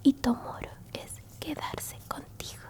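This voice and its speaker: A woman's voice: mellow, close to an everyday voice. Woman playing a whispering, soothing alien grandmother. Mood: tender, soothing. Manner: whispered, tender, soothing, unhurried. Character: gentle alien grandmother comforting a lost traveler. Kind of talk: Spanish ASMR roleplay, soft whispering.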